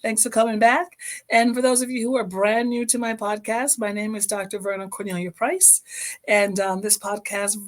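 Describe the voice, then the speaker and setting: A middle-aged woman with a hoarse voice hosting her own motivational podcast, speaking encouragingly and directly to her listeners. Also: mid-range voice, steady tone, moderate pace. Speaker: podcast host.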